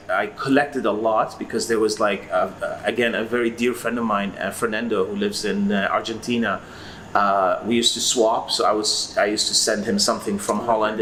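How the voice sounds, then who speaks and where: Low-voiced man from Iraq, rambling. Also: fairly bright tone, slight background noise, low-quality recording. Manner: rambling, hesitant, many fillers. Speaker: guest record collector. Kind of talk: casual interview answers.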